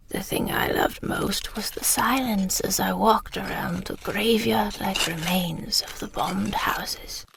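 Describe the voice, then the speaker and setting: Woman playing a whispering, wistful elderly British mother. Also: medium pitch. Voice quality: breathy, whispery. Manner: slow, hushed reminiscing. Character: frail elderly British mother recalling her wartime childhood, wistful. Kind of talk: audio drama monologue.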